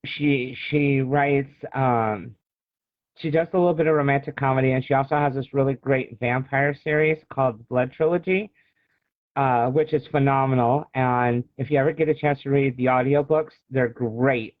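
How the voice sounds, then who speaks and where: A man's voice: medium pitch, steady tone, normal speed, dry recording. An American man in his early forties, an author chatting casually as the guest in an interview. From Illinois, United States. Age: early 40s. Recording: thin, phone-like video call sound.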